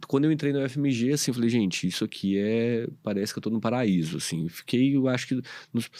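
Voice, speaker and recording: A man's voice: medium pitch, fast, dark tone, no echo, studio quality. A Brazilian man, a podcast co-host and internist, telling his story in casual chat with many fillers and restarts.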